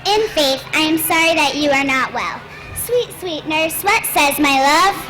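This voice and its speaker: A woman's voice: high-pitched, expressive, measured pace, bright, forceful tone, soft background music, full character voice, poor audio. A woman playing an impatient, lovesick young girl in a Shakespearean stage scene, pleading theatrically. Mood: coaxing.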